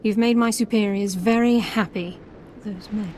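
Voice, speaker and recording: A woman's voice: medium pitch, fairly even intonation, moderate pace, darkish tone, slight background noise, natural voice. An actress playing an angry female military officer in a video game, terse, sardonic and disgusted. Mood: bitter anger. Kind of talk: video game cutscene acting.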